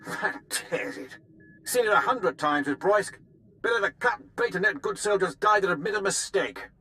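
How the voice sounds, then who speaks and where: An actor playing a gruff, defiant military major in a video game, with a plain-spoken, folksy manner. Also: male voice, sits high, normal speed, pushed and bright. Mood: bitter. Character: gruff, blunt, defiant male army major under siege.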